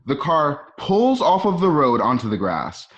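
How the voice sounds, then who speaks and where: A man's voice: fairly high pitch, wildly swooping pitch, measured pace, fairly bright tone. A man reading stage directions for a radio drama in a conversational way, with casual asides. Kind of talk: informal scripted narration for radio drama.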